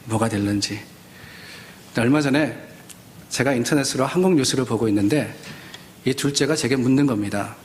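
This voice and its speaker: A man's voice: mid-range voice, fairly quick, long pauses, a little mellow. A middle-aged man from South Korea giving a sermon as a pastor, speaking conversationally and posing questions to his listeners. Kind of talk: Sunday sermon with personal anecdotes.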